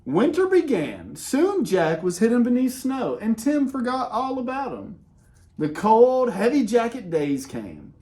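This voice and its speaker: A man reading a children's picture book aloud in an expressive way, with dramatic pauses and casual, conversational delivery. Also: extremely high pitch. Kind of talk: animated read-aloud with casual asides.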